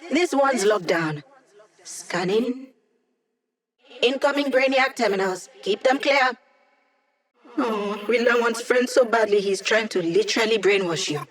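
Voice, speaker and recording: A woman's voice: on the high side, natural voice, rough recording. An actress voicing a witty young female hacker in a video game, a digitized mind who helps a squad with breezy, wry, techy teasing. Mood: focused, eager. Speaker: mission support character in a video game.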